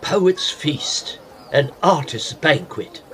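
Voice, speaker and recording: Animated, wry gentleman traveller. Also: a little high, very slow, heavily acted. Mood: enthusiastic. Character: well-bred, wry gentleman traveller recounting his tale. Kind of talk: period audio drama, storytelling and dialogue.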